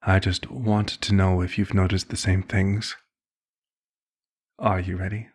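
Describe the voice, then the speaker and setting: A man playing a courteous elder vampire doctor in an ASMR roleplay, speaking formally and with measured, concerned authority, in a gravelly, husky voice. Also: low-pitched, little pitch movement. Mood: measured, clinical concern. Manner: formal, measured, polite wording. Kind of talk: ASMR roleplay, scripted one-sided dialogue.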